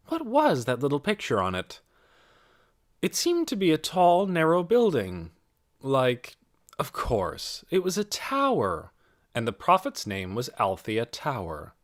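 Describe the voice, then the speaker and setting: A man reads scripted fiction as an audiobook narrator. His delivery is careful and expressive, with lively rises and falls in pitch throughout. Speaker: audiobook reader.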